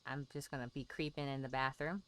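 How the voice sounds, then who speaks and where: A woman's voice: a bass voice, fairly even intonation, a little fast, a bit bright, smooth. A woman streamer giving casual, chatty game commentary, thinking aloud as she plays.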